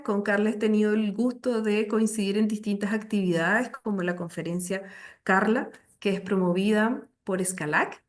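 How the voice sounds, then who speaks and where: A woman hosts an online session over a video call. Her voice sits at a medium pitch, and she speaks in the formal style of someone introducing and moderating a speaker. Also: a little mellow. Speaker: session host.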